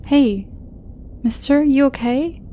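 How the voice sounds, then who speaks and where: A woman voicing a shy, clingy teenage girl who stammers and speaks in breathy half-whispers. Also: sits high, slow, mellow, a little hiss or hum, voice pushed up. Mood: eager, worried. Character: timid sweet teenage girl, eager and clingy.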